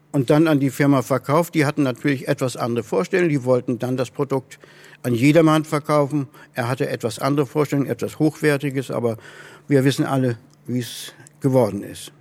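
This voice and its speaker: Mid-pitched man in a dry interview. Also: gravelly, darkish tone, dry recording. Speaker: club chairman and enthusiast interviewee. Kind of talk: interview talk.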